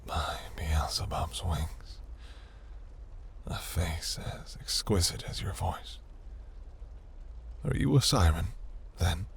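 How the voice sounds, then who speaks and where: Guy doing a flirty demon prince for fantasy roleplay, husky n breathy, super slow, grand and teasing. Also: deep voice, animated, exaggerated voice. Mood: intrigued. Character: seductive, imperious demon prince, ageless and flirtatious. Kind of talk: scripted romantic fantasy audio roleplay.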